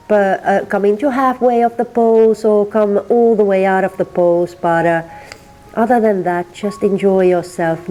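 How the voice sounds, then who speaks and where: A woman from Finland with a Finnish accent, teaching a gentle yin yoga class and softly cueing breath and movement. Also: on the low side, poor audio. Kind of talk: guided yin yoga instruction.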